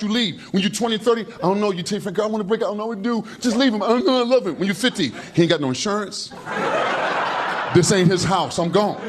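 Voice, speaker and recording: A man in his fifties doing an animated stand-up routine on a comedy club stage into a handheld mic, gesturing broadly as he talks. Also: high voice. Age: 50s. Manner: loud, animated, slangy storytelling with heavy gestures. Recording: live club recording with audience laughter. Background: audience laughing.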